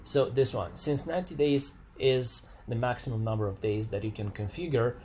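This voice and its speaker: Man giving a step-by-step software tutorial. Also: mid-range voice, normal speed, a little hiss or hum. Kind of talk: unscripted screen-share walkthrough, explanatory.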